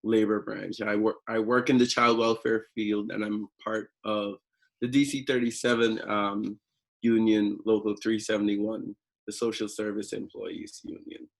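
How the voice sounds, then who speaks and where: Flat, gravelly man from Guyana. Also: lowish voice, poor audio. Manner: deliberately slow, with fillers. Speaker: seminar speaker. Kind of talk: online seminar talk.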